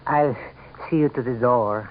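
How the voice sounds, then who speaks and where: A man's voice: medium pitch, measured pace, natural voice. A man playing a besotted, pleading secret lover in a languid, romantic vintage radio drama style. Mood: lovesick, pleading. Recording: muffled vintage radio, faint noise.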